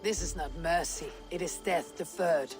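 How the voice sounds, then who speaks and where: A woman voices a viking clan leader, steady and commanding, never raising her voice for long. Her words come measured and firm. Soft music sits under her in the game cutscene. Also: lowish voice, natural voice. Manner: measured, authoritative.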